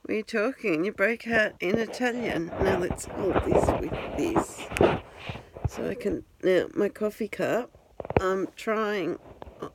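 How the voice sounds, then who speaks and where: A woman's voice: mid-range voice, takes it slowly, slight background noise, rough recording. A woman, chatting to her phone camera at home in a rambling, self-deprecating way. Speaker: vlogger. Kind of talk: casual talk to camera. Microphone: handheld phone.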